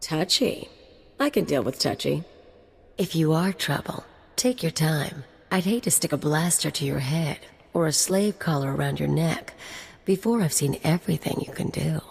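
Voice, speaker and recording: An actress playing a flirtatious, dangerous security chief in a video game, teasing and mocking. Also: female voice, sits low, lively intonation, moderate pace, creaky, a little mellow, husky, exaggerated voice. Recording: reverberant game mix.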